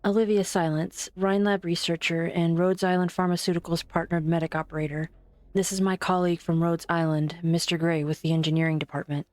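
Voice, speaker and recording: A woman playing an earnest, composed doctor and researcher in an amateur game fan dub, polite, measured and sincere. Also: lowish voice, little pitch movement, darkish tone, no room sound, lightly acted. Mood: composed, concerned.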